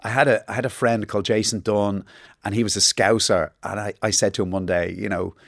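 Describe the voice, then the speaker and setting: Guy in his 50s telling stories on a chat show, keeps laughing, lowish voice. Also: steady tone, brisk, creaky. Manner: chatty storyteller, laughs easily. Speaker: guest. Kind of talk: relaxed interview chat.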